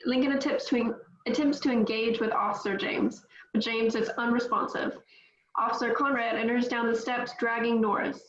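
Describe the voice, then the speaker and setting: A woman's voice: a little high, steady tone, normal speed. A woman reading scripted stage directions as narrator at a table read, in roomy, slightly dull audio.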